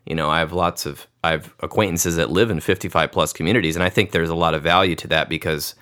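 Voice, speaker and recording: Very deep-voiced thoughtful young man. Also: fairly even intonation, quick pace, pushed and bright. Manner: thoughtful, musing, many fillers. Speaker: podcast host. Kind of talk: reflective conversation.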